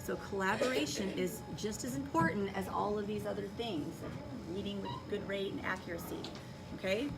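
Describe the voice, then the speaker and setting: A woman teaching young children in a classroom, giving clear directions and checking understanding with short questions. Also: medium pitch, moderate pace. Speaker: elementary school teacher. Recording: distant mic in noisy classroom.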